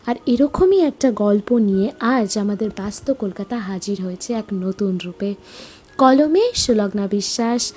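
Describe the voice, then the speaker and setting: A woman giving a reflective, sentimental scripted introduction to a story, with dramatic pauses. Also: on the high side, mellow, husky. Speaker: introducer, narrator.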